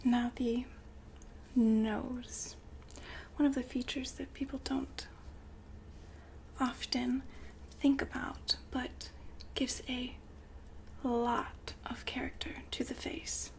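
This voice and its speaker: Casting: actress for a polite sci-fi shop consultant in ASMR roleplay, high female voice, whispered, slow and soothing. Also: flat delivery, gravelly. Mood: calm, attentive. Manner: polite, unhurried, softly whispered customer-service talk. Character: courteous, soothing saleswoman at a futuristic fembot-building shop.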